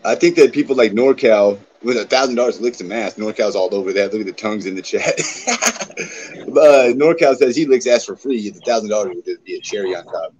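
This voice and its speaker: Low-voiced guy streaming from a small room, laid-back, laughs a lot, dull lo-fi webcam sound with faint hiss. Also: a little fast, a little mellow. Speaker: streamer host. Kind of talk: casual livestream chat. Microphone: webcam on a video call.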